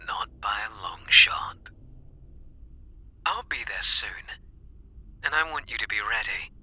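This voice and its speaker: A British man playing an obsessive, seductively playful masked killer, taunting in whispery, drawn-out questions close to the mic in an ASMR roleplay. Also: on the low side, expressive, pushed and bright, breathy edge, exaggerated voice. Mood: menacing, eager. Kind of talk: ASMR roleplay, intimate monologue. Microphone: close microphone.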